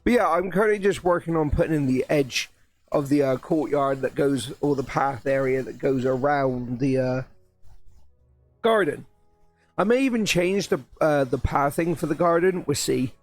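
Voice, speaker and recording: A man chatting casually on a live stream, his voice a little croaky, rambling with restarts and sounding excited about his plans. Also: on the high side, moderate pace, soft background music. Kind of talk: casual live-stream chat while building.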